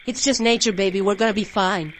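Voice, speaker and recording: A woman playing a gentle, protective mother in an audio drama. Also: medium pitch, fairly even intonation, fast talker, a little hiss or hum, natural voice. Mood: reassuring. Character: gentle, protective Southern mother, quietly uneasy.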